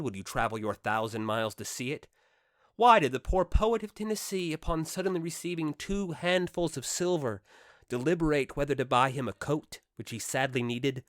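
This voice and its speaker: A man's voice: mid-range voice, a bit bright, dry recording. Man reading a classic novel, dry sound. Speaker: audiobook narrator. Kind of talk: dramatic literary audiobook reading.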